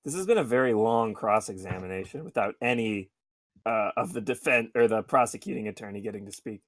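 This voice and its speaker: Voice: mid-range voice, brisk, dry recording. A streamer chatting casually over a game, sweary and exasperated. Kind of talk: casual gaming chat.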